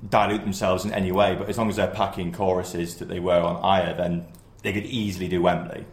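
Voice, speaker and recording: A man's voice: low voice, little pitch movement, brisk. A man co-hosting a casual podcast. He rambles and often restarts his sentences. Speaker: podcast co-host. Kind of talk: casual chat. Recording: roomy echo.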